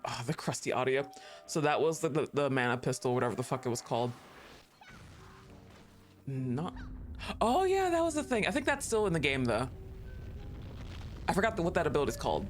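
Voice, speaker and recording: A male streamer chatting casually to his viewers, excitable, laughing often and swearing freely. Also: medium pitch, brisk, pauses often. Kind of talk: casual livestream chat. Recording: faint music behind the voice.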